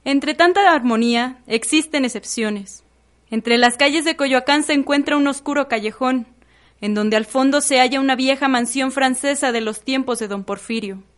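A woman's voice: sits high, normal speed. A young adult woman with a Mexican accent narrating a scripted radio drama.

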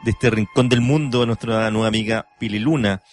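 A man's voice: on the low side, steady tone, fairly quick. A man with a Chilean accent hosting casual radio talk, politely and hesitantly searching for words. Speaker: radio host. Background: soft music.

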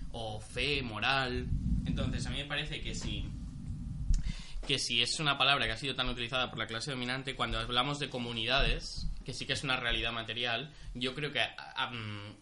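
A man's voice: medium pitch, sharp tone, a little hiss or hum. A young man from Spain in a casual group discussion, speaking articulately with frequent fillers.

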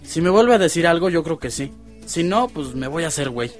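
Actor as a slangy mexican teen boy, bit rebellious, highish voice, radio soap, faint music. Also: lively intonation, brisk, close to an everyday voice. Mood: laid-back. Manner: casual, slangy. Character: laid-back, mildly rebellious Mexican teenage boy. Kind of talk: radio soap opera acting.

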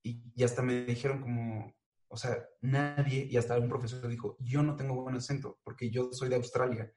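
A young man with a Mexican accent talks casually as an interview guest, joking and chatty, with plenty of fillers. His voice sits at an ordinary pitch for a man. The room around him rings with a noticeable echo. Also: little pitch movement, normal speed, a little mellow. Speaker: guest, animator and voice performer.

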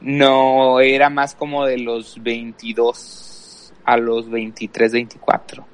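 A man's voice: mid-range voice, completely flat delivery, takes it slowly, poor audio. A man from El Paso, Texas, talking casually about himself and often thinking aloud. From El Paso, Texas, USA. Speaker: podcast guest, worship leader. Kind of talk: casual personal storytelling.

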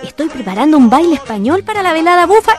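An actress playing a cheerful young woman running for spring queen, talking in a lively, warm-hearted way. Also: high-pitched, quick pace. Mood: chatty. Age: young adult. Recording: radio drama with music and crowd. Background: music and crowd at a party.

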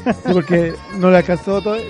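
A man's voice: sits high, steady tone, fairly quick, music in the background. A man with a Chilean accent hosting a podcast in a casual, chatty way. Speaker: podcast host. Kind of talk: casual chat.

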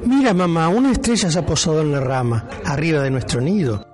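A man voicing an eager, amazed baby bluebird chick in an amateur radio play, calling out loudly to its mother. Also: a little high, expressive, fairly quick, faint music behind, natural voice. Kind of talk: amateur radio theatre acting.

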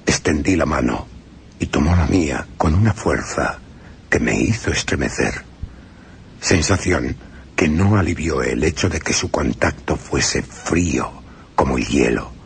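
A man's voice: extremely low pitch, lively intonation, a little slow, gravelly, a little hiss or hum, full character voice. An actor playing a young male solicitor, who tells his frightening journey in tense first-person radio drama narration. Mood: fearful. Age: young adult. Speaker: narrator as character. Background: music.

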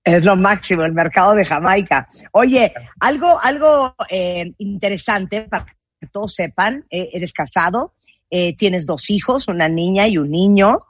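A woman's voice: mid-range voice, expressive, unhurried, slightly rough, dry recording, lo-fi sound. A Mexican woman with a Mexican accent, hosting an interview with an enthusiastic, praising manner. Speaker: interviewer, host.

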